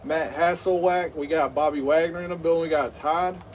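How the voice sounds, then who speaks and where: A man's voice: a little high, steady tone, normal speed, recorded from a distance, a little hiss or hum. A man in his thirties hosting a live game stream, rambling with lots of fillers and hyped play-by-play. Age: 30s.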